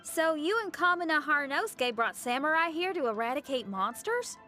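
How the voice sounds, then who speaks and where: A woman's voice: high voice, big pitch swings, brisk, pushed and bright, faint music behind, heavily acted. A woman acting a spirited, forthright young streetwise companion in a video game cutscene, with punchy, colloquial delivery. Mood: questioning.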